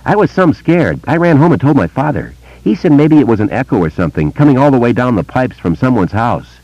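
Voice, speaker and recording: A man voicing a curious, excitable small-town boy who talks in a quick, slangy way. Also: medium pitch, no echo, pitched above the performer's own voice. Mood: earnest. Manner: breathless, excitable, slangy kid talk. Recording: dull lo-fi dry recording.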